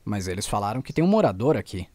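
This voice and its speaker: A man's voice: fairly low pitch, big pitch swings, fast, no room sound. An actor in an audio drama playing a bold, protective young male journalist with an urgent, exclaiming delivery. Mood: concerned. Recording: loud music behind the voice. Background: dramatic music.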